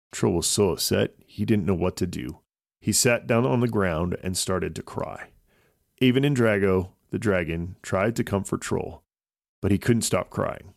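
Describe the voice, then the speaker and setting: A man's voice: sits low, vocal fry, darkish tone, no room sound, clean studio sound. A man narrating a scripted children's story as a podcast host.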